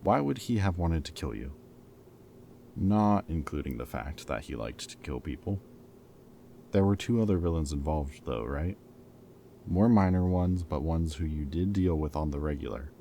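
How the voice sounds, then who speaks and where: Casting: man as wry ex-villain friend, bottom-of-range dark voice, conversational and earnest, some noise behind. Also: very deep voice, lively intonation, moderate pace. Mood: gentle, concerned. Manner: casual, teasing, earnest. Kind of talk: roleplay audio drama acting, conversational.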